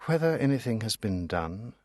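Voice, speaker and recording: A man's voice: on the low side, big pitch swings, normal speed, no room sound, studio quality. A man voicing a proud, scornful society lady in an audiobook, languid and haughty. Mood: bored scorn.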